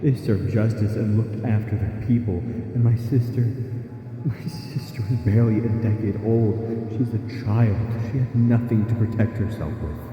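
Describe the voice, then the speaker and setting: Drenched in echo, a low-voiced, vengeful demon royal acted by a man declaims with bitter pride and anguish. The audio drama speech is halting and wrathful, its sound dulled under a long reverb. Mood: anguished, enraged. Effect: heavy reverb of a memory scene. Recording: long echoing reverb, dull sound, faint noise.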